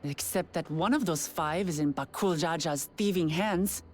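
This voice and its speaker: An actress playing an earnest young warrior princess in a video game, speaking directly and eagerly. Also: female voice, on the low side, moderate pace, slight background noise, lightly acted.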